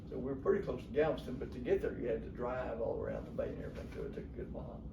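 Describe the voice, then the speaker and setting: A man's voice: mid-range voice, wildly swooping pitch, racing, vocal fry. An older man casually reminisces about his life in a rambling, folksy way. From Texas, USA. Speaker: father telling family memories. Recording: noisy, echoey home recording, distant mic.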